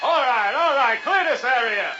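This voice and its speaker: Commanding, very high-pitched male emergency worker. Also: expressive, moderate pace. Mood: urgent, commanding. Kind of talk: vintage radio drama acting. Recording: muffled, very noisy old radio recording.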